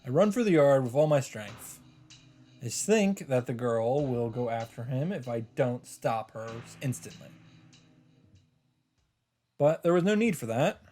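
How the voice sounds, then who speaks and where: A man's voice: mid-range voice, animated, measured pace, long pauses, warm tone, faint music behind. Man reading a visual novel aloud. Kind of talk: live read-aloud narration with casual asides.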